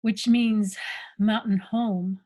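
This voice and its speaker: A woman sharing on a video call in an unhurried, thoughtful way with pauses. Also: medium pitch, steady tone, mellow. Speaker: online summit participant. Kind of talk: reflective sharing in a group call.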